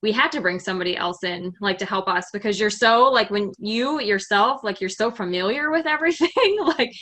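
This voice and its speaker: A middle-aged woman chats casually as an interview guest, filling her talk with "like" and laughing easily. Her voice is bright and clear. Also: medium pitch, brisk. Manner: chatty, frequent "like" fillers, laughs easily. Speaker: interview guest, software company employee. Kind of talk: casual conversation.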